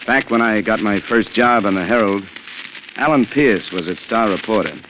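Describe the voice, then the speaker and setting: An actor playing a seasoned newspaper reporter who narrates a 1940s radio suspense story in a wry, conversational way. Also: male voice, lowish voice, moderate pace, close to an everyday voice. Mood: wry, matter-of-fact. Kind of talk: 1940s radio drama first-person narration. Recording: noisy vintage radio recording.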